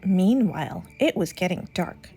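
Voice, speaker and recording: A woman reading a children's story aloud as an expressive storyteller. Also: lowish voice, measured pace, vocal fry. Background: soft music.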